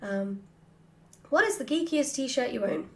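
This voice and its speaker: A woman chatting casually and thoughtfully as an author answering questions from followers, with an animated manner. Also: sits high, unhurried. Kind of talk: casual Q&A chat. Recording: slightly roomy, echoey.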